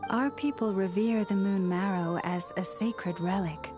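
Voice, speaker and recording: A young priestess of the moon in a video game, acted by a woman. She speaks slowly in a mid-range voice, gentle and earnest. Her delivery is formal and reverent, with soft music underneath. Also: mid-range voice, lightly acted. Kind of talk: video game cutscene acting.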